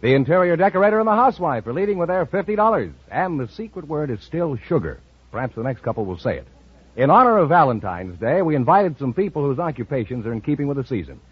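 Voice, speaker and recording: American male announcer, husky edge, animated, presentational, dull dry vintage radio recording. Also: a little high, quick pace, no room sound. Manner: polished, presentational delivery. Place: radio studio. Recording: muffled vintage radio broadcast.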